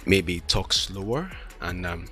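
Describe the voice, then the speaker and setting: A man's voice: low-pitched, lively intonation, takes it slowly, pushed and bright, soft background music. A man with a lisp who stutters and restarts words, rambling casually and self-deprecatingly as a streamer. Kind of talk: casual solo chat while testing mic settings.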